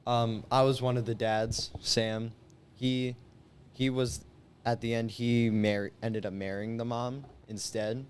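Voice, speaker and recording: A man's voice: medium pitch, level tone, slow pace, a little hiss or hum. A young man in his late teens chatting casually as a guest, explaining things in a loose, informal way. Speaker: high school senior guest. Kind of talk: casual chat.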